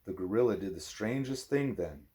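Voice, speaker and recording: Expressive man narrating a story, lively pitch. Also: moderate pace. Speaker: audiobook reader.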